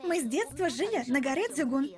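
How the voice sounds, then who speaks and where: A woman's voice: sits high, sing-song, fairly quick, a little mellow. A woman voicing a haughty yet friendly teenage martial-arts girl in an animation dub. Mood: friendly, eager. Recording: faint music behind the voice.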